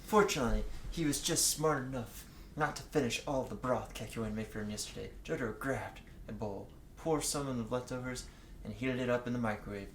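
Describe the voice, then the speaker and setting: A man's voice: mid-range voice, brisk. A man giving a casual read-aloud of fan fiction, slipping in joking asides. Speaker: co-reader reading fan fiction aloud with commentary. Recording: echoey room with faint background noise.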